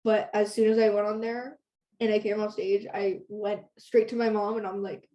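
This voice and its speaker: A woman's voice: mid-range voice, little pitch movement, normal speed, warm tone, lo-fi sound. A teenage girl from Canada who grew up speaking Hebrew, chatting casually as an interview guest with many "like"s and "um"s. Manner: chatty, lots of "like" and "um". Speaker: guest singer-songwriter.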